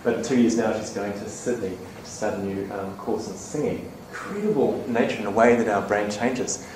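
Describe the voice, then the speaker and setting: A man presenting at a conference to a room of educators, talking in a chatty, animated way and checking in with the audience with quick "okay?" and "right?" tags. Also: on the low side. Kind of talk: live conference talk to educators. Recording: echoey conference room, faint ambient noise.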